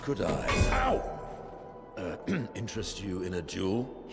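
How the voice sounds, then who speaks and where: A man voicing a courtly, duel-loving creature swordsman in a video game, speaking slowly and formally in a deep gravelly voice. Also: wildly swooping pitch, full character voice. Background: game music.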